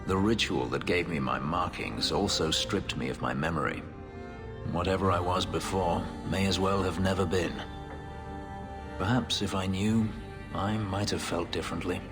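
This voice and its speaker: Brooding elf warrior, ex-slave, very low and formal, restrained, voiced by a man; game cutscene over loud music. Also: moderate pace, lightly acted. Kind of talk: video game cutscene dialogue.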